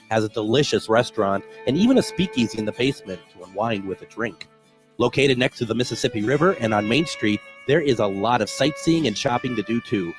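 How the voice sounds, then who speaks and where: A man, hosting a radio talk show, chatting casually and reading his own ads, restarting often with "uh". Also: medium pitch.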